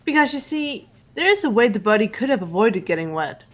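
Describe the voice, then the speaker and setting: A woman's voice: a little high, sing-song, a little fast. Composed teenage girl detective, voiced by a woman. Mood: cool, assertive. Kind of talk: fan-voiced visual novel game dialogue. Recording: music behind the voice.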